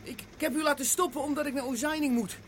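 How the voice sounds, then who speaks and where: Actor as high, quick, apologetic stranded motorist. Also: fairly even intonation, slight background noise, natural voice. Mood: apologetic, pleading. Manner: apologetic, urgent, pleading. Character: flustered, polite stranded motorist pleading for a lift. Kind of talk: radio drama acting.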